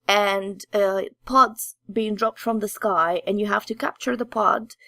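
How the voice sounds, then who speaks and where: A woman's voice: medium pitch, steady tone, unhurried, a bit bright, no echo, studio quality. A woman co-hosting a podcast, chatting casually about games in a hesitant, rambling way. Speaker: podcast co-host. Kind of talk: casual gaming discussion.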